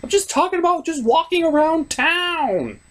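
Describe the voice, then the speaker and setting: A man's voice: extremely high pitch, very animated, moderate pace, a bit bright, voice pushed up, full character voice. A man voicing an argumentative, exasperated female puppet sidekick in a comedic review. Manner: emphatic, exasperated bickering.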